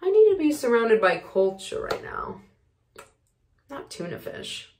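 A woman's voice: fairly high pitch, big pitch swings, normal speed, a little mellow, slight background noise. An actress performing a neurotic, self-absorbed woman in a comic monologue, rambling and sighing dramatically.

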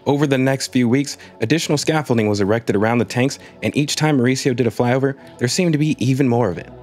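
A man's voice: medium pitch, fast talker, darkish tone, faint music behind. A man narrating a scripted space news video, telling the story with wry comic asides.

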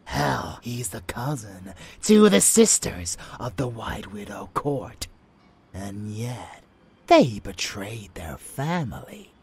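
A man voicing an unhinged, seductive, bloodthirsty vampire in a roleplay audio, theatrical and taunting, with a raspy, breathy voice that often drops into whispers. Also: on the low side, sing-song, darkish tone, heavily acted. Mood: scornful, outraged. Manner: theatrical, slow, sneering, taunting. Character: unhinged rebellious male vampire, seductive, zealous, bloodthirsty lover. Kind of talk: roleplay ASMR dramatic monologue.

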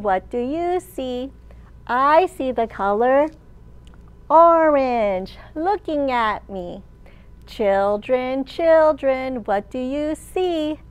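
A woman in her thirties, a children's librarian leading storytime with an animated, sing-song delivery for young children. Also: high-pitched. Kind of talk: children's storytime read-aloud and teaching. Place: large open library room, indoors.

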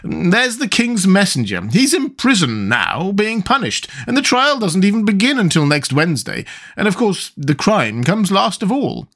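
A man's voice: high-pitched, very animated, moderate pace, fairly bright tone, voice pushed up, heavily acted. A man voicing a helpless, fretful, dotty old queen from a storybook, in a plaintive, wavering manner. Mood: careless, airy. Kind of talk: storybook character voice.

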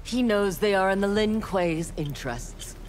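A woman's voice: mid-range voice, expressive, measured pace, darkish tone, slight background noise, natural voice. Sektor: a woman voicing a haughty young ninja. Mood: cold. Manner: cold, haughty, clipped. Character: proud ruthless young female ninja warrior. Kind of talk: video game dialogue.

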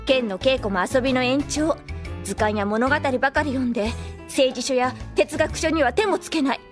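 An actress playing a proper young princess who speaks in a refined, prim, lecturing way in an anime drama CD. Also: female voice, high-pitched, normal speed, soft background music, natural voice. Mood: scolding.

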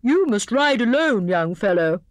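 A woman voicing an excitable, pompous male hare in a children's storybook, with exclamatory, drawn-out, self-important declaiming. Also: high voice, no room sound, voice pushed up. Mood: severe, flustered. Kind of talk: children's storybook character reading.

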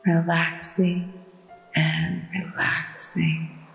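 A woman guides a sleep meditation at a very slow, deliberate pace. Her hushed, soothing delivery sits low in pitch. It is bathed in long, echoing reverb. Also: little pitch movement, creaky. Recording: echoey long reverb with music behind. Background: relaxation music with ocean waves.

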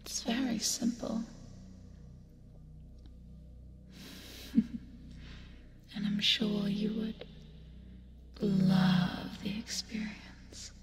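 A woman playing a teasing, creepy yet caring sleep paralysis demon in a breathy, soothing ASMR hypnosis roleplay, close to the microphone. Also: mid-range voice, fairly even intonation, heavy background noise. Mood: sly, ominous. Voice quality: breathy, whispery. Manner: slow, soothing, coaxing with teasing asides. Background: music.

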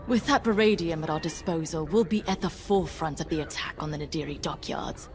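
An actress playing a confident, opinionated young military pilot in a video game, speaking assertively. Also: female voice, low voice, brisk, close to an everyday voice. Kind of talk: video game cutscene acting.

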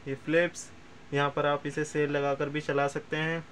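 Higher-voiced man, noisy recording. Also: level tone, normal speed, a bit bright. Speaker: seller presenting second-hand audio equipment. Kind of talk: casual product demonstration. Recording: clear background noise, amateur room recording.

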